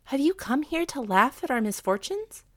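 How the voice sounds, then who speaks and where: A woman's voice: high-pitched, sing-song, moderate pace, no echo, pitched above the performer's own voice. A woman voicing a poor, fretful old widow in a storybook reading. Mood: startled, suspicious. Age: older. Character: poor old Chinese widow, plaintive, fretful, devoted mother.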